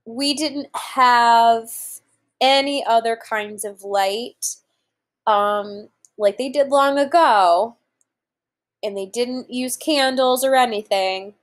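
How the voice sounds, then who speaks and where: A woman in her thirties reading aloud to young children as a teacher, pausing to explain things simply and deliberately. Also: a little high. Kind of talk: children's read-aloud lesson with explanatory asides. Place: room at home, indoors.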